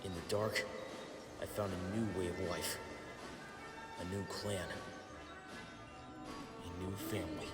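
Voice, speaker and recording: A weary, blind turtle warrior, acted by a man tells his story in a very low voice. He speaks slowly and earnestly, like a comic-book narrator looking back, under loud music. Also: fairly even intonation, roomy sound, long reverb tail. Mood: reflective. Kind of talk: scripted comic dub narration.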